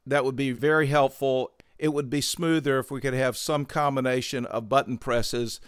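A man hosting a synthesizer tutorial, explaining gear in a measured, methodical way on a close microphone. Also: medium pitch, steady tone, clear, resonant tone, dry recording. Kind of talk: explanatory product tutorial narration.

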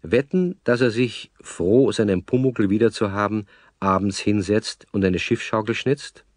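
A man's voice: on the low side, unhurried, dry recording, studio quality, close to an everyday voice. A man playing a chatty storyteller, narrating a children's story and addressing the listener directly. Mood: chatty, knowing.

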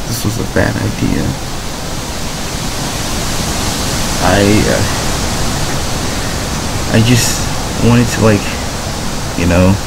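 An actor playing a lost, frightened survivor stranded in darkness in an audio log drama, speaking haltingly with long pauses over hissing noise. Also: medium pitch. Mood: dazed, shaken.

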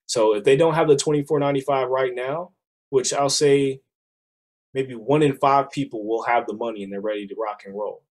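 A man in his thirties, slightly hoarse, walking his listeners through a funding program on a video call in a casual, persuasive way. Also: mid-range voice, fairly even intonation, moderate pace. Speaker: business funding presenter. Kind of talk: screen-share sales walkthrough. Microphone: webcam on a video call.

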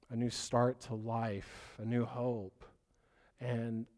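A man preaching a sermon as pastor, hoarse from a cough, with a scratchy voice and an earnest, rambling way of talking. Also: on the low side, a little mellow. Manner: earnest, loses his train of thought and apologizes.

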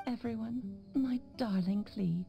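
A woman's voice: a little high, a little slow, vocal fry, music in the background. A woman voicing a loving, whimsical mother in a video game, with a tender, lyrical delivery. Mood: playful.

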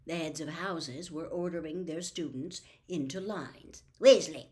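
An older woman in her sixties or beyond reading a storybook aloud with expressive, dramatic phrasing. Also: sits low, unhurried. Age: 60s or older. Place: small book-lined room at home.

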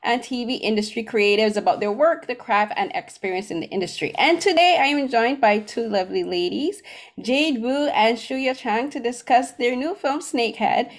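A middle-aged woman hosting a film interview in a conversational style over a video call. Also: fairly high pitch. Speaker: interview host. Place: small room at home. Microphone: computer mic on a video call.

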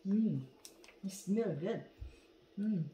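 A woman's voice: medium pitch, very slow, dark tone, slight background noise. A woman whose first language is Tagalog, chatting casually in broken English while unboxing perfume at home. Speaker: vlogger. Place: dining room at home. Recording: echoey room.

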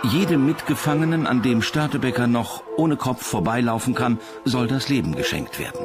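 A man's voice: mid-range voice, lively intonation, normal speed. A man reading scripted documentary narration as the narrator. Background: music behind the voice.

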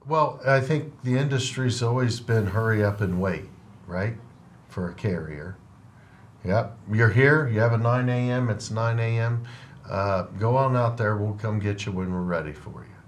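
A man's voice: lowish voice, normal speed, a little hiss or hum. A middle-aged man hosting a trucking podcast in a studio, speaking plainly from a trucker's point of view. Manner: plainspoken, trucker's perspective, frequent rhetorical "right?". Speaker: podcast host and trucking company owner. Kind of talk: casual interview chat. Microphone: over-ear headphones with desk mic.

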